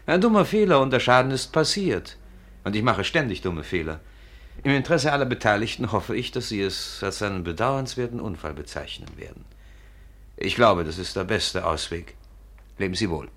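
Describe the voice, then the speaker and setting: Suave low-voiced gentleman villain. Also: big pitch swings, moderate pace. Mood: coolly menacing. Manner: suave, polite, ironic. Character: urbane aristocratic villain, a coolly menacing gentleman thief. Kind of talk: 1950s German radio play acting.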